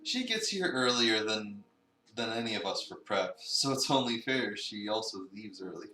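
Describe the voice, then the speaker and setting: A man's voice: fairly low pitch, expressive, normal speed, pushed and bright. A man voicing a polite, slightly stuffy, fatherly cafe staffer in a visual novel, with a mild, formal manner. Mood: friendly. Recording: roomy, distant mic.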